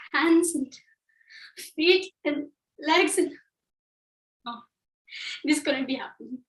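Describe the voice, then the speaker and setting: Young refugee girl, high and hushed, played by a woman, roomy echo. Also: distant mic. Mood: horrified. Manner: hushed, tearful storytelling. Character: ten-year-old Honduran girl, grieving refugee. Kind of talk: competitive dramatic interpretation performance.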